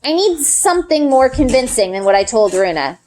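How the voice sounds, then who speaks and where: Female read-aloud narrator for children's fiction. Higher register, highly varied intonation, expressive storytelling. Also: brisk.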